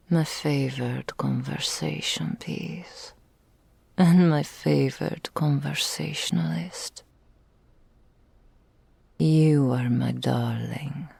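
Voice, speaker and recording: Woman doing a super slow gravelly dragon lover, regal n possessive, whispery asmr roleplay. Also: low-pitched, warm tone, dry recording, exaggerated voice. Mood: adoring, possessive. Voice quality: breathy, often whispered, creaky. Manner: slow, formal archaic phrasing, regal and doting. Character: possessive, adoring female dragon in human form, regal lover. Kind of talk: intimate ASMR roleplay, scripted character acting. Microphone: close studio mic, dry.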